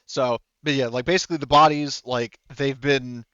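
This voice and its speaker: A man's voice: medium pitch, steady tone, normal speed, sharp tone, clear, resonant tone, no room sound, dull audio. A man running a tabletop game as game master, improvising the narration casually in a rambling, hesitant way, thinking aloud.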